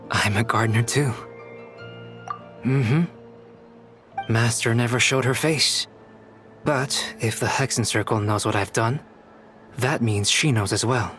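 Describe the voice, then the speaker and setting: A man playing a calm, soft-spoken young scholar, an artificial human in a video game, speaking formally and reflectively. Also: on the low side. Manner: measured, reflective, formal diction. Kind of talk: scripted video game dialogue. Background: background music.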